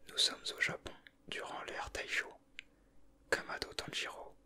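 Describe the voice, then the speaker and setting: Man whispering ASMR, crisply bright. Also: a little slow. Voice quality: breathy, unvoiced whisper. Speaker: ASMR video creator. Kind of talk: whispered ASMR, casual page-by-page commentary.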